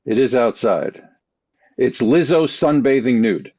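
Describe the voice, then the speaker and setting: A man in casual chat as a podcast panelist, rambling through halting questions and guesses. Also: mid-range voice, sing-song, a little mellow, slightly rough. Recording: dull lo-fi audio.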